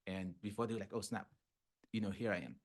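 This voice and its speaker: A man's voice: fairly low pitch, fairly even intonation, a little fast. A man from Ghana whose first language is Fante, a clinical psychologist hosting a podcast and explaining things in a casual way, often checking in with "right?" and "yeah?".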